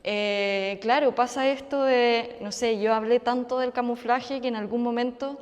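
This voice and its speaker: A woman's voice: on the high side, steady tone. Woman artist speaking in a reverberant hall. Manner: reflective, with fillers and pauses. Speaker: artist on a panel. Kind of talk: public panel discussion. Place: community center hall.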